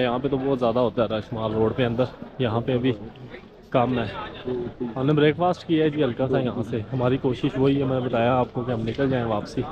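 A man's voice: mid-range voice, highs cut off. A man with a Pakistani Urdu accent, chatting casually as a travel vlogger walking a snowy street and laughing at his own remarks. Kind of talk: casual walking vlog commentary. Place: outdoors on a snowy busy street. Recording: crowded outdoor street noise. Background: crowd chatter.

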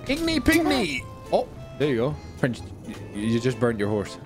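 A man improvising comic roleplay banter in a video game, playful, excitable and sweary, repeating his phrases. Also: mid-range voice, moderate pace. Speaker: gaming video host, roleplayer. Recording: music behind the voice.

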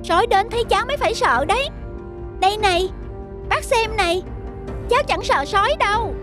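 A little donkey boy from a children's cartoon. The voice is extremely high and childlike, boastful and naive. Soft music sits behind it. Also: expressive, a little fast. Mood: cocky excitement. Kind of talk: cartoon voice acting.

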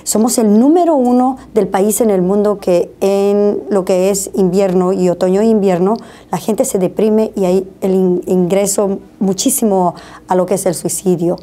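Mellow-voiced woman hosting. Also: mid-range voice, fairly even intonation, moderate pace. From Bolivia. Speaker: TV show host. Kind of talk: studio interview. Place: small indoor TV studio.

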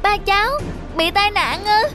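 Little schoolgirl in a cartoon, high plaintive kid voice, music behind. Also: measured pace. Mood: shocked. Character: small, anxious, obedient schoolgirl. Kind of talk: dubbed cartoon acting.

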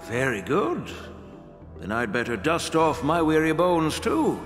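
A calm, measured old elder in a video game. He speaks in a mid-pitched voice with a long reverb behind it. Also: faint music behind. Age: older. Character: calm old male elder in a straw hat.

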